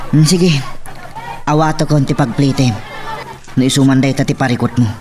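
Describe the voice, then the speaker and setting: A man's voice: medium pitch, fairly even intonation, normal speed, crowd noise, background noise, natural voice. A man playing a helpful, friendly tricycle driver in an Ilocano drama, with an Ilocano accent and a casual, persuasive manner.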